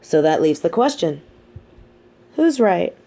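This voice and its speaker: A woman playing a sardonic, teasing supervillain with a hidden soft side, talking straight to the listener in an audio roleplay with theatrical, mocking emphasis. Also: lowish voice, very animated, slow pace, heavily acted. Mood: musing, lecturing. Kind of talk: scripted audio roleplay monologue spoken to the listener.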